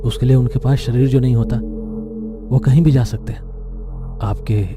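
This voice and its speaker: A man playing a fearless, eerie young morgue newcomer in a horror story, speaking calmly and gravely. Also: medium pitch, normal speed, close to an everyday voice. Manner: calm, grave, philosophical. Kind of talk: dramatized Hindi horror audio story. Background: music.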